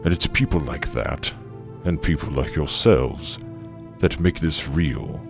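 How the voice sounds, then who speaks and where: An actor playing a wealthy, jovial and silkily sinister banquet host in an audio drama, with grandiose, savoring delivery. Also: male voice, slow, over music, full character voice. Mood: grandly gracious. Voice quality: deep, gravelly.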